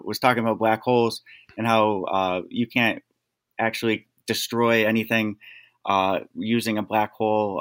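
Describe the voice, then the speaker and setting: Low-voiced rambling male guest. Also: a bit bright. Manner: rambling, heavy use of "like", enthusiastic. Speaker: podcast guest. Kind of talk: casual conversation.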